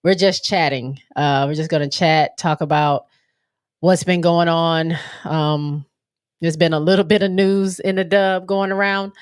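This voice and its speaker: A woman hosting a live stream, chatting casually about basketball in a relaxed, slangy way with plenty of fillers. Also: on the low side, measured pace, clear, resonant tone, dry recording, clean studio sound. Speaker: livestream host.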